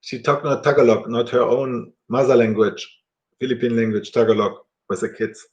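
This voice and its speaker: A middle-aged German man talking casually to camera in halting, self-correcting English with a German accent. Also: medium pitch, fairly even intonation, a little mellow, lo-fi sound.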